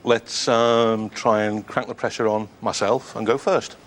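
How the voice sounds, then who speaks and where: A man's voice: on the low side, little pitch movement, measured pace, noisy background. A man in his thirties, a quiz contestant, reasoning aloud in a rambling, jokey way. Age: 30s. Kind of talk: thinking aloud on a quiz show.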